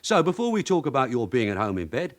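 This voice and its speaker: An actor playing a shrewd, weary veteran detective sergeant in a radio drama, with a London accent and a blunt, sardonic, authoritative way of questioning. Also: male voice, medium pitch, sing-song, quick pace, close to an everyday voice. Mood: sardonic, needling. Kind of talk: naturalistic radio drama acting.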